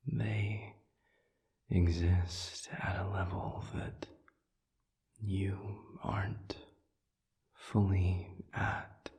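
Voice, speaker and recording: A man playing a calm, considerate demon in an intimate audio roleplay, speaking in a breathy near-whisper with measured, formal, gentle phrasing. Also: sits low, full character voice. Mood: measured, reassuring. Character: calm, considerate male sadism demon offering comfort.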